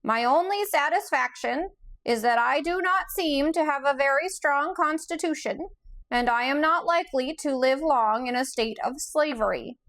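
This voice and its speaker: A woman voicing a squeaky-voiced male creature, a pompous, gloomy flying thing that talks in a formal, mournful way. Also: normal speed, a bit bright. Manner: mournful, formal and long-winded.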